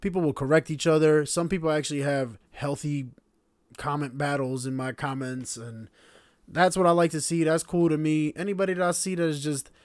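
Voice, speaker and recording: A man around thirty hosting his own podcast in a casual, hyped, slangy monologue with sudden high shouts. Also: fairly even intonation, brisk, no room sound, clean studio sound. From grew up in the United States. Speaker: podcast host.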